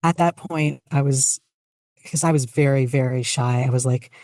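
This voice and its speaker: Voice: mid-range voice, fairly even intonation, moderate pace, darkish tone, dry recording, clean studio sound. A podcast guest chatting casually in an interview, hesitant and self-deprecating. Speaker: podcast guest, fan fiction writer and podficcer.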